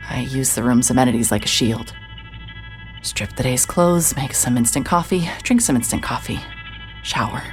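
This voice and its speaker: An actress playing a hard-hearted, sardonic private investigator, narrating in a wry, self-mocking way. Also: female voice, rumbling low, moderate pace, faint music behind. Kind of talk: first-person scripted narration.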